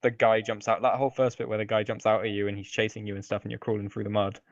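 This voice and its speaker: A man's voice: lowish voice, level tone, racing, dry recording, muffled recording. A British man co-hosting a podcast, chatting casually about video games with wry, slightly hesitant phrasing. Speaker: podcast co-host.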